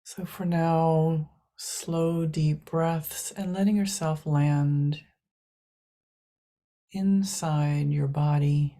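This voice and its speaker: A woman leading a guided meditation in a soft, hushed manner, leaving long pauses between short phrases. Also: low voice, steady tone.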